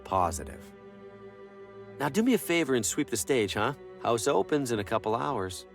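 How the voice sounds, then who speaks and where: An earnest, harried theater dad in a video game. His mid-range voice swings very widely in pitch, with faint music underneath. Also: sing-song, normal speed, gravelly. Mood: reassuring. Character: earnest, harried father running a small theater. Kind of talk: dramatic game dialogue.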